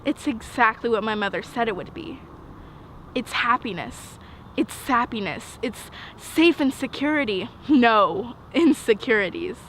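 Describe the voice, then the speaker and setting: A young woman in her teens performing rhythmic spoken word poetry, earnest and emphatic, with dramatic pauses. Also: fairly high pitch, animated, a bit bright, poor audio. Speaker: slam poet. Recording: outdoor urban noise, passing vehicles.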